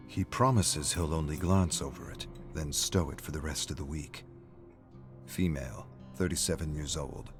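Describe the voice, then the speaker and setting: A man with a very low voice narrates a scripted dramatic story. His delivery is measured and brooding, and music plays clearly beneath him.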